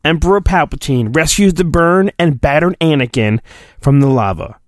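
A man hosting a podcast, giving a theatrical mock-dramatic reading of a storybook with drawn-out phrasing and goofy, rambling asides. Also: fairly high pitch, lively intonation, dry recording, clean studio sound.

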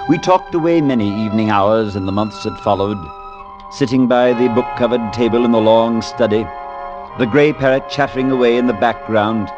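An actor playing a compassionate, thoughtful priest in measured, literate 1940s radio drama style. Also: male voice, medium pitch, close to an everyday voice. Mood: grave, reflective. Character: thoughtful, compassionate priest recalling an eerie tale. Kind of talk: 1940s radio acting and narration. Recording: dull vintage 1940s radio broadcast, music underneath.

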